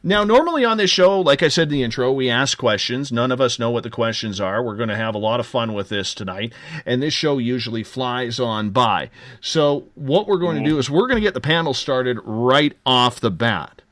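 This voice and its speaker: A man's voice: mid-range voice, lively intonation, moderate pace, a bit bright, dry recording. A man hosting a live late-night radio talk show, giving upbeat, joking promotional patter. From Canada.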